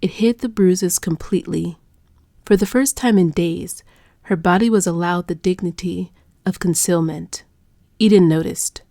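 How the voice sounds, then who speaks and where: A female audiobook narrator reads dark romance in a fairly low voice. Her delivery is measured, hushed and dramatic, recorded very dry with no room around it. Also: vocal fry, dry recording.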